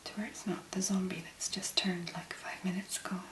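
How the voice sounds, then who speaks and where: A woman speaking in a breathy, whispery voice, gently and unhurriedly murmuring step by step through a soft-spoken ASMR tutorial as its host. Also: fairly low pitch, level tone, a little fast, reverberant.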